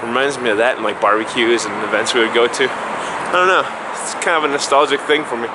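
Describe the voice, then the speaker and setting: A man's voice: medium pitch, fairly quick, very noisy. A man in his thirties, from Chile, rambling casually as he vlogs with a handheld camera on a city street at night. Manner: rambling, musing aloud. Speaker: vlogger. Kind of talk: casual vlog talk. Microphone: handheld camera mic at arm's length.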